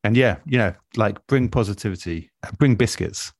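A man in a relaxed interview, explaining things in a thoughtful, measured and earnest way as an experienced producer. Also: on the low side, dry recording, clean studio sound. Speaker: interview guest, audio drama producer. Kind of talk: relaxed advice-giving conversation.

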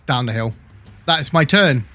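A man's voice: mid-range voice, animated, normal speed, sharp tone. A man playing a tabletop game, casually narrating what his character does. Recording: music playing under the voice.

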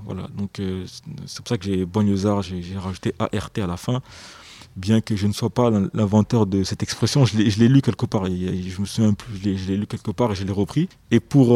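A man's voice: on the low side, dark tone, no echo. A young man, an author being interviewed on the radio, speaking thoughtfully and hesitantly, often repeating words as he searches for phrasing. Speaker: author guest.

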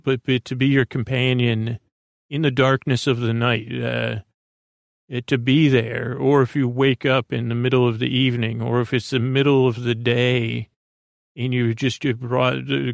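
Gravelly man rambling softly at bedtime. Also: medium pitch, dry recording, clean studio sound. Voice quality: gravelly, creaky. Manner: halting, meandering, trailing off mid-thought. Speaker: host of a bedtime sleep podcast. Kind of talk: rambling, soothing monologue. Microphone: close podcast microphone, dry.

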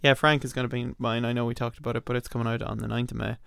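A man's voice: mid-range voice, level tone, very fast, vocal fry, fairly bright tone, clear, resonant tone, no room sound, clean studio sound. A man chatting casually about films as a podcast co-host. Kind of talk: casual film chat.

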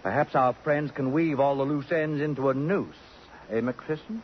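An actor, a man, playing an arch, witty, affected society gentleman in a theatrical 1950s radio drama style. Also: mid-range voice, moderate pace, natural voice. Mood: sardonic, playful. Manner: affected, pompous, sardonic. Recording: dull, hissy old radio recording.

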